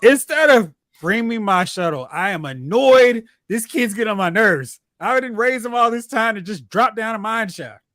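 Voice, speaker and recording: A man co-hosting a casual pop culture chat, talking in an animated, opinionated way. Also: sits high, normal speed, hardly any pauses, no echo, clean studio sound. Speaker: podcast co-host.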